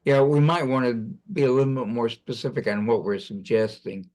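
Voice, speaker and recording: A man's voice: mid-range voice, brisk, darkish tone. A man giving feedback in an informal work discussion on an online conference call. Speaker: meeting participant giving feedback.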